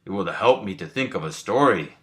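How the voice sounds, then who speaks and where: A man voicing an earnest, helpful, slightly hapless male toad from a storybook, speaking deliberately. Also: lowish voice, animated, natural voice.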